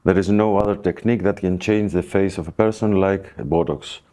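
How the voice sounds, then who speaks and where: A man with a Greek accent explaining things as a guest expert in a podcast interview, in halting, hesitant phrases. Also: low-pitched, steady tone, mellow. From Greece. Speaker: plastic surgeon, guest expert.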